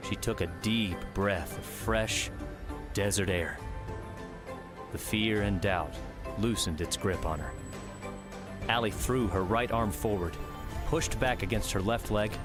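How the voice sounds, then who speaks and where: A man narrating an audiobook in a measured, steady storytelling manner. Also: on the low side. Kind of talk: scripted narration. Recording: music bed under the voice.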